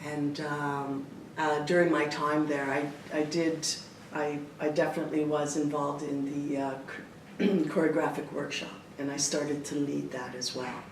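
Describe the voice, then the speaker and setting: A woman's voice: sits low, measured pace, far from the mic. A woman from Canada whose first language is Italian, a dancer and choreographer talking expressively in an interview, often restarting her sentences. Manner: expressive, animated, many restarts and fillers. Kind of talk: reflective interview talk. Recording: echoey room, speaker away from mic, faint noise.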